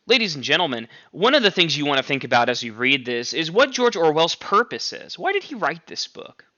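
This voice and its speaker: A male teacher reading a novel aloud to his class with expressive, clear phrasing. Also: fairly high pitch, brisk, no room sound, dull audio.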